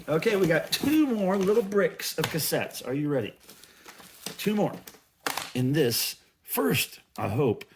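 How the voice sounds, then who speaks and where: A man's voice: medium pitch, noisy background. A middle-aged man chatting casually as a vlog host, musing aloud in a rambling way with folksy, playful slang. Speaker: video channel host. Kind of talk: casual haul vlog chat.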